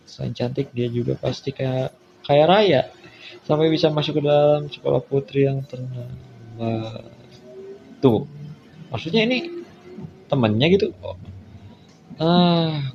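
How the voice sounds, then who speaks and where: A man's voice: mid-range voice, darkish tone, a little hiss or hum. A man with an Indonesian accent doing a casual let's play, reading visual novel text aloud and adding colloquial off-the-cuff asides. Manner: colloquial, reacts with asides to what he reads. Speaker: gaming content creator. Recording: dull, lo-fi sound with faint music behind. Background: faint game music.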